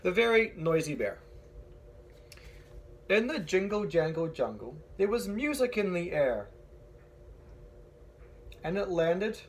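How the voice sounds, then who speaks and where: A man's voice: a little high, a little hiss or hum. A man teacher reading a picture book aloud to young children, encouraging them and asking them questions as he goes.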